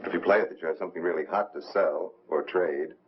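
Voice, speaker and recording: A suave, determined sleuth, played by a male actor in an old 1950s film. He speaks low and with restless purpose. The soundtrack is dull and noisy. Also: steady tone, quick pace, close to an everyday voice. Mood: coolly scheming. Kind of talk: 1950s American film acting.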